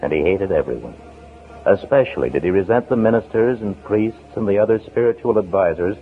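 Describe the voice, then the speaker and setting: Actor as solemn narrator on tinny old radio. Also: male voice, lowish voice, animated, slight background noise, close to an everyday voice. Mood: solemn, dramatic. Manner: solemn, dramatic, measured delivery. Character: grave, dramatic male storyteller of a true crime tale. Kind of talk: 1950s radio drama narration. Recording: old 1950s radio broadcast, narrow tinny band.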